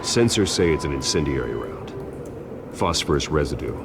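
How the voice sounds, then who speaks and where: A grim masked vigilante detective for a video game, in an extremely low voice. The delivery is terse and clinical, heavy with brooding intent. Loud music plays behind the voice. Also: male voice, lively intonation, moderate pace. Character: grim masked male vigilante detective examining a crime scene.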